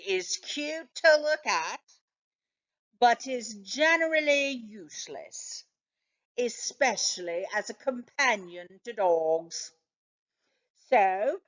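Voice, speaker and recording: A woman reading a children's story aloud in an expressive narrating style. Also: medium pitch, muffled recording. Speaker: storybook reader.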